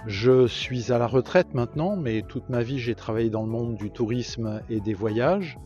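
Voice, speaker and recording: A man's voice: mid-range voice, normal speed, mellow. A man of seventy-six giving an interview testimonial as a retired volunteer mentor. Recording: slightly dull sound, music behind. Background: music.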